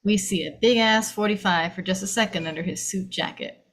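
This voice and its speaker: A woman reads the action and scene headings of a film script aloud. Her voice sits at a medium pitch, and she delivers the narration dramatically, as at a table read. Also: a little fast, fairly bright tone, a little hiss or hum. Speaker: narrator reading stage directions.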